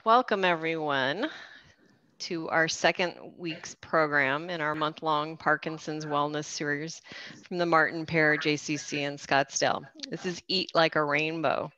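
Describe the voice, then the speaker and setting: A woman's voice: sits low, fairly even intonation, unhurried, pushed and bright, no echo. A woman coordinator hosting a video-call program, walking people through the call settings step by step. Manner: friendly, explains step by step with fillers. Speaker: fitness program coordinator and event host. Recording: dry, slightly dull video-call audio.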